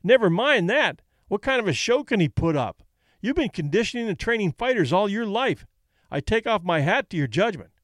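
A man reading an audiobook, voicing a gruff, impatient male fight promoter who snaps and blusters. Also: high-pitched, sing-song, normal speed, dry recording, clean studio sound, pitched above the performer's own voice. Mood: brusque. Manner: snapping, blustering.

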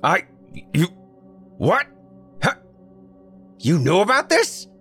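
A man voicing a stubborn, loud middle-aged country father who grumbles and blusters. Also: high voice, huge pitch swings, takes it slowly, soft background music, pitched above the performer's own voice, full character voice. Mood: indignant.

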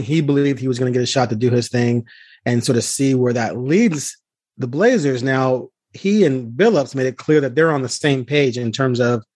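A man's voice: medium pitch, darkish tone, no room sound. Emphatic man talking sports, dry close sound. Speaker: podcast host and sports reporter. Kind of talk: casual sports podcast talk.

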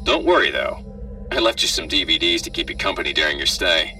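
A man's voice: low-pitched, sing-song, fairly quick, sharp tone, far from the mic, soft background music, exaggerated voice. A man voicing a callous, flippant government doctor in a hazmat suit, with a robotic, matter-of-fact delivery in a horror story. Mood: smug. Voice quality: robotic, muffled through a suit. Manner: matter-of-fact, darkly joking.

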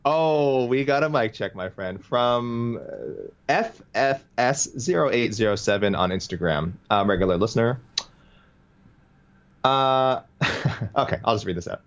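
A man's voice: mid-range voice, expressive, measured pace, dull audio. A man co-hosting a podcast in casual chat, chatty and playful, reading out listener messages. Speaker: podcast co-host.

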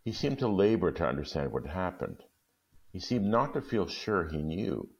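Deep, gravelly male storyteller. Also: sing-song, moderate pace, a little mellow, dull audio. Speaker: story reader. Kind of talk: expressive literary storytelling and talk.

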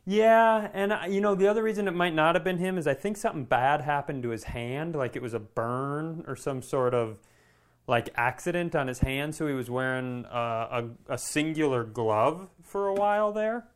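A man co-hosting a comedy podcast, telling anecdotes casually with animated, self-deprecating delivery and some swearing. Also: fairly high pitch, normal speed, smooth. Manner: animated storytelling with profanity.

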